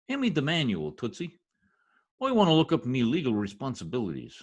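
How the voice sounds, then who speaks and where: A man's voice: medium pitch, huge pitch swings, a little fast, husky, dull audio. A man playing a blustery, slangy middle-aged husband in a recreated 1950s radio comedy. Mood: folksy, self-important. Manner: folksy slang, dropped grammar, exclaiming.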